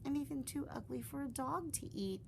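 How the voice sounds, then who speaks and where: A woman's voice: high-pitched, lively intonation, fairly quick, gravelly, noisy background, voice pushed up. Young ugly duckling, timid and piping, voiced by a woman reader. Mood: glum. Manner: small and piping.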